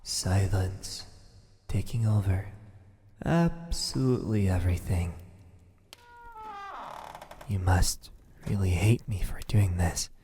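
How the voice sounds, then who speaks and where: A man playing a lovesick, possessive, centuries-old vampire in an ASMR roleplay, brooding and wounded, in a husky, breathy voice that often sinks to a whisper, with halting pauses. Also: sits low, warm tone, a little hiss or hum. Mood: brooding, bitter. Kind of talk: ASMR roleplay, slow dramatic monologue.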